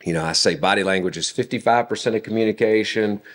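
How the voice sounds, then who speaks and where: A very low-pitched man in his forties speaks as a podcast guest. He tells stories about his family in an unhurried, conversational manner, often pausing on fillers. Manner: relaxed storytelling with frequent fillers. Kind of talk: casual conversation.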